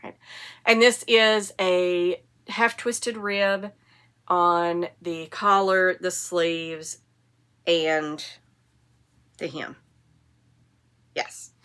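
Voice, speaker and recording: A woman's voice: on the low side, fairly even intonation, drawn-out words, long pauses, fairly bright tone, smooth. A woman, sniffly from a cold, hosting a casual knitting podcast in a chatty, enthusiastic, rambling way. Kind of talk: casual show-and-tell chat.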